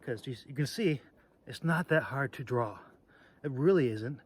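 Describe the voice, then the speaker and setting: A man hosting a casual, off-the-cuff demonstration video, talking in a halting, rambling way with phrases that trail off. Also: medium pitch, animated, husky. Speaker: video host demonstrating sword carry.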